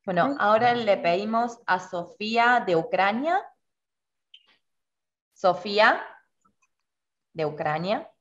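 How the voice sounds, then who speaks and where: A woman's voice: medium pitch, expressive, slow, pauses often. A woman in her thirties moderating an online meeting and introducing the next speakers. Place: indoor room with bookshelves, on a video call. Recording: dull lo-fi video call audio.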